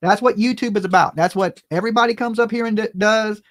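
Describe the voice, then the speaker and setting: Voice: medium pitch, quick pace. A commentator talking casually and emphatically to viewers, giving opinions in colloquial, salty language and often checking in with tag questions. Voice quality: colloquial, salty, profane speech. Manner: emphatic, informal, repeatedly tags phrases with "right" and "okay". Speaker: commentary channel host.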